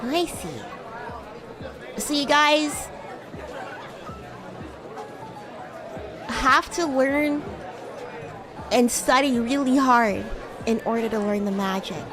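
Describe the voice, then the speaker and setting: Woman playing a high-pitched bratty demon girl. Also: takes it slowly, long pauses, music in the background, crowd noise. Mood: smug, curious. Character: bratty young female demon classmate, haughty yet sweet. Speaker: streamer, VTuber host. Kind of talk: improvised in-character roleplay chat with viewers.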